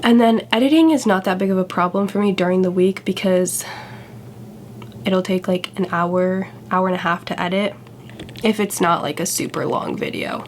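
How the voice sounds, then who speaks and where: A woman's voice: fairly low pitch, normal speed, a little hiss or hum. A young woman vlogger sounding congested from a cold, talking in a chatty, candid, self-deprecating way. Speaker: YouTuber. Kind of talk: casual vlog chat.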